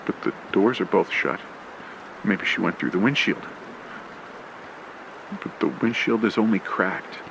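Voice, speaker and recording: Slow, low, gravelly man narrating horror. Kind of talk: scripted first-person horror narration in old radio play style. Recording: clear background noise with ambience and vehicle sounds. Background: rain, ambience and vehicle sound effects.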